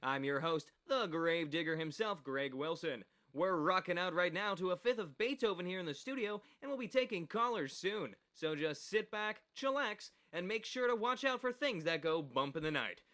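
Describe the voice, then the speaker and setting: An actor playing a cocky, wisecracking late-night radio host in a radio play, with theatrical, sarcastic patter. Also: male voice, high voice, animated, normal speed, few pauses, fairly bright tone. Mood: showy, teasing.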